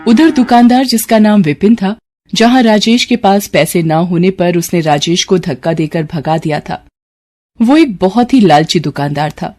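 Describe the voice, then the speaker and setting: Woman narrating, medium pitch. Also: normal speed, dark tone. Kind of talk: scripted storytelling narration.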